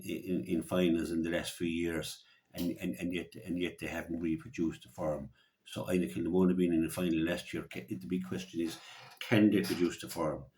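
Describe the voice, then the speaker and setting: A man with a County Clare Irish accent giving a conversational sports preview as a local radio pundit, halting and often repeating his words. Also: deep voice, a little mellow.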